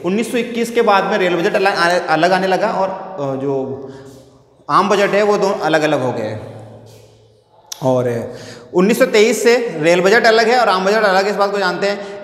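Man, schoolteacher lecturing, high voice, repetitive question-and-answer delivery, reverberant classroom. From India. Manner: repeats phrases and asks rhetorical questions. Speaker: school teacher. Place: indoor classroom. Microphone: clip-on lapel microphone. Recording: echoey, reverberant room.

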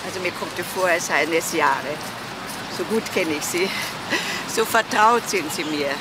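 A woman's voice: lowish voice, unhurried, close to an everyday voice. An actress playing a genteel, self-assured older lady who speaks formally and with courteous insistence in a crime drama. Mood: composed, courteous. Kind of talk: old German TV crime drama acting. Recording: very noisy old soundtrack, traffic ambience.

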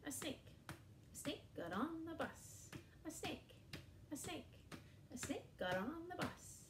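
A woman in her forties leads young children through an animal rhyme with puppets, speaking slowly and in an exaggerated sing-song way. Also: a little high, roomy sound, a little hiss or hum. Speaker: early years educator. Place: small indoor room against a bare wall.

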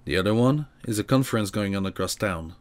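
An actor playing a weary, guarded vampire mentor in an amateur audio drama. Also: male voice, lowish voice, lively intonation, fast talker, lightly acted. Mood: dry, businesslike.

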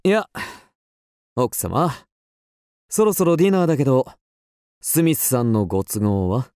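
Man acting a mellow, suave, indulgent husband. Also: medium pitch, very animated, a little slow, no room sound. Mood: affectionate, teasing. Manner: smooth, teasing, condescending. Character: suave, indulgent upper-class husband, gently chiding. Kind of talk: radio drama acting.

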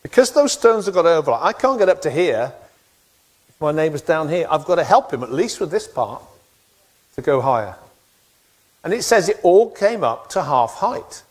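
A middle-aged man preaching a sermon from a church stage, talking in an animated way and gesturing broadly. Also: a little high, normal speed, long pauses. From England. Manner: animated, gestures widely while pacing the stage. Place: church stage with wooden backdrop.

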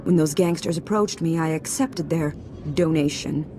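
A woman playing a proud, resolute middle-aged senator in a video game, formal and measured. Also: low-pitched. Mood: earnest, defiant. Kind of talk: video game cutscene acting. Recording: game cutscene with clear ambient noise.

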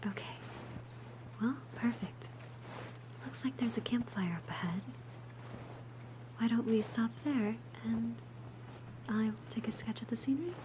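A woman voicing a gentle, shy young female alchemist in an ASMR roleplay, softly breathy, hesitant and tender. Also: fairly high pitch, a little slow, pauses often, background noise, close to an everyday voice. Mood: tender, admiring. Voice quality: soft, breathy whisper.

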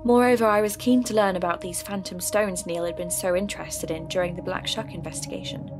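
A woman's voice: fairly low pitch, fairly even intonation, a little fast. Woman narrating over music. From England. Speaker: podcast narrator. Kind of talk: scripted narration. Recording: clear music under the voice.

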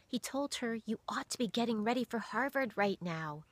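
A woman's voice: a little high, lively intonation, moderate pace, no room sound, pitched above the performer's own voice. A woman doing a whispering young girl. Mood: excited whisper. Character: wide-eyed young girl, hushed.

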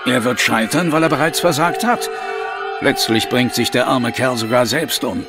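A man's voice: mid-range voice, moderate pace, far from the mic, natural voice. Male actor as earnest police inspector, Victorian audio drama, formal, determined, slightly off-mic, background music. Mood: earnest, questioning. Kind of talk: German audio drama acting.

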